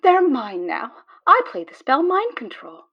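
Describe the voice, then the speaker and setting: A woman's voice: high-pitched, huge pitch swings, unhurried, breathy edge, lo-fi sound, exaggerated voice. A woman playing a cocky, mischievous adventurer in an audio drama, taunting and theatrical. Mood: gloating. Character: cocky, mischievous female card-playing adventurer, sharp-tongued.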